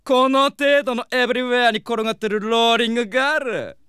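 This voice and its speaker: Flamboyant, vain composer, high clear camp voice, pompous English sprinkles, comic audio drama. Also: male voice, a little fast, pushed and bright, no echo, clean studio sound. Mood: scornful. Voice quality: camp, effeminate lilt. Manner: pompous, theatrical, peppering Japanese with English words. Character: flamboyant, conceited, effeminate male hitmaker composer.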